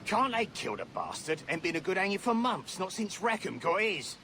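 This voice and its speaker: High, sneering prison guard. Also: male voice, sing-song, normal speed, bright, forceful tone, full character voice. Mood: mocking grumble. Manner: mocking, coarse, colloquial. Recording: noisy outdoor ambience behind.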